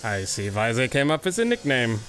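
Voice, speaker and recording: An actor playing a suave, flattering flying ace, grandiose and formal, in a pulp audio drama. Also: male voice, mid-range voice, fairly quick. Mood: suave, probing. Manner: smooth-talking, grandiose, formal.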